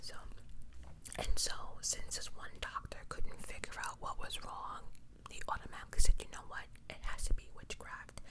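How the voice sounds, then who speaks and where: ASMR host for true crime storytelling, pure unvoiced whisper throughout, conversational and emphatic, with informal asides. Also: moderate pace. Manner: conversational, emphatic, repeats points for effect.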